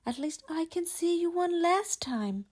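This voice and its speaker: A woman reading the part of a gentle, lovelorn male beast in a storybook, tender and sorrowful. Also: very high voice, animated, measured pace, pitched above the performer's own voice. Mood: weak, loving.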